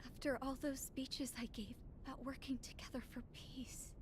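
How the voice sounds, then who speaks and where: Flat-pitched, grieving young princess played by a woman. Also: normal speed, background noise, lightly acted. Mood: grieving, numb. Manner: subdued, solemn. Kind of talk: scripted monologue narration. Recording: loud music under the voice. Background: music.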